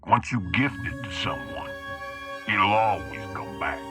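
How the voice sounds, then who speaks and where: A scheming villain in a cinematic radio drama. The voice is very deep, slow and conspiratorial, with a wide menacing sweep, over loud music. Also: male voice, expressive, recorded from a distance. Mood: scheming menace. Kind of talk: cinematic audio drama acting.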